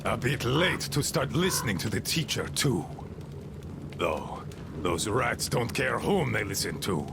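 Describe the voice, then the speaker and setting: Gravelly, bitter old boat commander. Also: male voice, fairly low pitch, big pitch swings, measured pace, background noise, exaggerated voice. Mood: scornful. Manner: theatrical, grumbling, rambling. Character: bitter, commanding, eccentric old boatman called Admiral. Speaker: game character, leader of a small river crew. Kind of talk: dramatic video game monologue.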